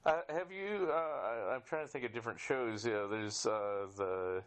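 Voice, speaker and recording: A man's voice: medium pitch, moderate pace, clear, resonant tone. A middle-aged man hosting a podcast, chatting casually and a bit ramblingly with his guest. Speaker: podcast host. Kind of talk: casual interview chat.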